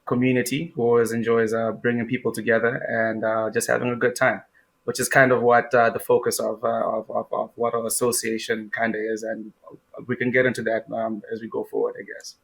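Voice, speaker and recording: A man's voice: medium pitch, flat delivery, a little fast, creaky, fairly bright tone, rough recording. A young man from Zimbabwe, a guest in an interview, answering with many fillers and restarts.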